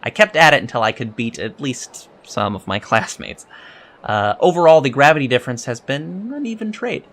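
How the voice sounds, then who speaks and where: A man's voice: mid-range voice, sing-song, moderate pace, fairly bright tone. Earnest, hesitant young alien cadet, played by a man. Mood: eager, explaining. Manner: hesitant, polite, precise wording with many ums. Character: earnest young male alien Starfleet cadet, eager and scholarly. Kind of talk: audio drama interview acting.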